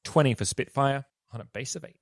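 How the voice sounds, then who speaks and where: A man's voice: mid-range voice, fairly quick, background noise. A man who plays in a tabletop game, casually describing what his character does.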